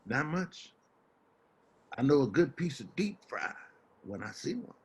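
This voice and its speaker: A man's voice: medium pitch, very animated, unhurried, breathy edge. An actor playing a wary, loyal homeless man who challenges and needles, in a stage play reading. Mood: resigned, wary.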